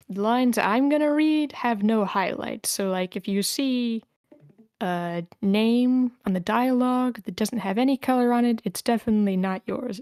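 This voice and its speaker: A woman's voice: mid-range voice, a little mellow, smooth, dry recording, clean studio sound. A woman hosting an online group session, chatting casually as she organizes things. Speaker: host of an online script table read.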